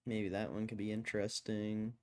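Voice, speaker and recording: Man, lowish voice, hoarse, keeps clearing throat, laid-back swearing streamer. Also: little pitch movement, normal speed. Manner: laid-back, swears casually, mutters while reading. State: losing his voice, throat-clearing. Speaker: stock market live streamer. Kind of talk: casual live stream chat.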